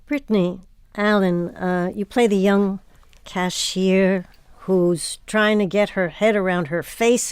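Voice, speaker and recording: A woman hosting a panel interview, putting prepared questions with measured, deliberate phrasing. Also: mid-range voice, darkish tone, clear, resonant tone, dry recording. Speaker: host moderating an actors' panel.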